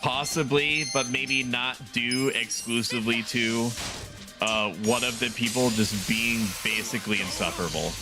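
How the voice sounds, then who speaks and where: Guy streaming a game, rambly and laughy, game music loud behind him. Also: medium pitch, normal speed. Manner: rambling, chatty, laughs often. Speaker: VTuber streamer. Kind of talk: casual live-stream gameplay chat. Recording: game music playing under the voice.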